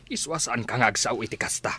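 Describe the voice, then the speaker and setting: A man's voice: mid-range voice, expressive, quick pace, pushed and bright, husky, dry recording. A man playing a grumbling, worried older rural father in an Ilocano-accented radio drama, scolding as he talks.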